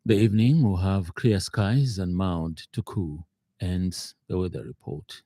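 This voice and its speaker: A man reads a formal radio news bulletin in a measured, slightly halting way. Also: deep voice, dark tone, slight background noise. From Zambia. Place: radio studio.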